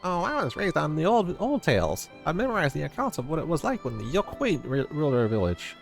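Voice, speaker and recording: Man voicing a high, lively little fantasy villager. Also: a little fast, gravelly. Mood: earnest. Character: young small Pelupelu villager, earnest, loyal and eager guide. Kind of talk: fantasy game dialogue read aloud. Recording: music under the voice.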